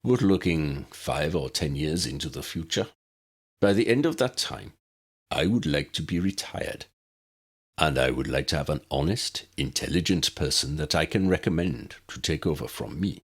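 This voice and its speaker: A man voicing a senior government minister in an audiobook, deep, solemn, measured and formal. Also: expressive, breathy edge, full character voice. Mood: grave, measured.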